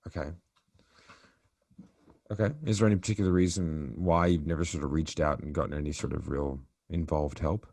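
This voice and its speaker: A man's voice: extremely low pitch, fairly even intonation, a little fast, creaky, a little mellow, dry recording. A man on a recorded sales coaching call, talking conversationally and asking probing questions. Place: recorded call, roomy sound.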